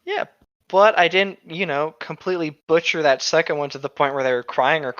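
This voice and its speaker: A man's voice: a little high, a little fast, fairly bright tone, no room sound, dull audio. A man chatting casually and candidly on a podcast, telling rambling personal stories with some swearing. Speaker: co-host of a reading podcast. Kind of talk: casual chat with anecdotes.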